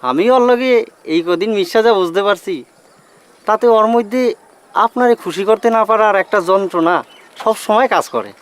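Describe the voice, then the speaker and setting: Man acting as a high-voiced, humble, pleading friend. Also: moderate pace, long pauses, smooth, lightly acted. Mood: earnest pleading. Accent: eastern Bengali dialect. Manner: deferential, pleading. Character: humble, earnest working-class male friend, pleading respectfully. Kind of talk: dramatic film dialogue.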